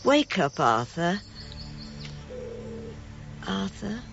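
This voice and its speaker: An actor playing a concerned companion in a radio drama, gently chiding and coaxing. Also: medium pitch, big pitch swings, drawn-out words, creaky, heavily acted. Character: concerned companion rousing an elderly sleeper. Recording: slightly dull radio recording, faint music.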